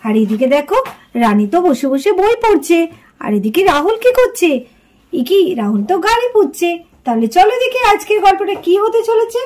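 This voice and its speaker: A woman's voice: extremely high pitch, sing-song, fairly quick, a little mellow. A woman narrator telling a story for a doll video, addressing viewers directly.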